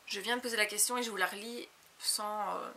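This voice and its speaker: A woman's voice: mid-range voice, steady tone, fairly quick, pushed and bright. A woman, chattily reading out questions and answering them in a casual vlog. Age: late 20s. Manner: reads each question aloud, then answers chattily. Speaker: YouTube vlogger.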